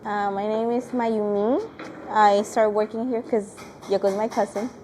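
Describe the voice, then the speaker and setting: A woman's voice: mid-range voice, fairly even intonation, a little slow, noisy background. A woman from Venezuela who works as a server at a ramen restaurant, chatting casually and friendly in an interview. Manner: friendly, chatty, many likes.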